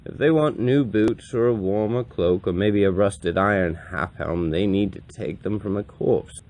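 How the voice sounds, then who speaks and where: A man performing a grave, compassionate old wandering priest in a solemn, deliberate dramatic monologue with a gravelly voice. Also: on the low side, darkish tone, lightly acted. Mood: somber. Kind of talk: dramatic audiobook-style monologue reading.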